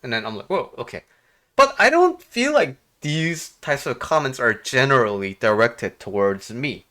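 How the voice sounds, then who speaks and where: Male life coach vlogger, from Korea, mid-pitched voice, very varied intonation, casual reflective delivery with frequent pauses. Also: very animated, bright, forceful tone. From South Korea. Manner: halting, frequent pauses and rhetorical questions. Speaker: life coach and video host. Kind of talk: casual reflective commentary to viewers.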